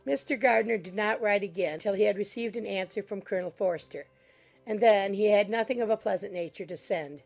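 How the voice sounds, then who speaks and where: A woman's voice: medium pitch, gravelly. A woman giving scripted literary narration for a dramatized audiobook. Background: soft background music.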